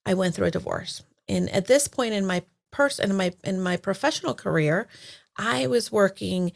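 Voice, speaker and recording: A woman's voice: mid-range voice, expressive, moderate pace, gravelly. A woman from El Salvador, a certified life coach, talking reflectively in a casual interview with many fillers and restarts.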